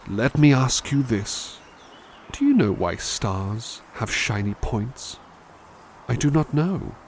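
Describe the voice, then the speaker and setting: A man playing a gentle, kindly butler who softly reads poems aloud in an ASMR roleplay and muses thoughtfully on each one. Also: medium pitch, a little hiss or hum. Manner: soft, musing, thoughtful asides between poems. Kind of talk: ASMR roleplay poetry reading.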